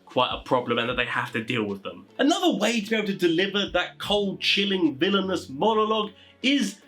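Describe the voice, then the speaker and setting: A man with a somewhat high voice presents tabletop gaming advice. He talks informally and conversationally, as an explainer. His sentences ramble along and circle back to the same stock phrases. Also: big pitch swings, a little fast, pushed and bright.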